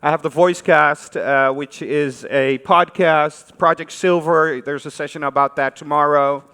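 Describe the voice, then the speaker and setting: A man's voice: on the high side, steady tone, a little slow, sharp tone, clear, resonant tone. A man from the Netherlands with a Dutch accent gives an informal conference talk, speaking conversationally and putting questions to his listeners. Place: large conference hall. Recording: reverberant hall stage recording.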